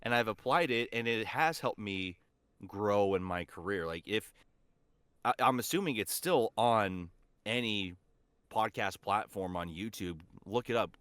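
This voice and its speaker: A man's voice: on the low side, a bit bright, dry recording. A man chatting casually as a voice actor guest on a podcast, speaking in an enthusiastic, earnest way.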